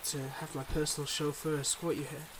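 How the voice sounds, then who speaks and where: A man playing a suave, secretive mob boss boyfriend in an intimate ASMR roleplay, talking smoothly and teasingly to the listener. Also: fairly high pitch, flat delivery, a little fast, background noise, natural voice. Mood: earnest, guarded.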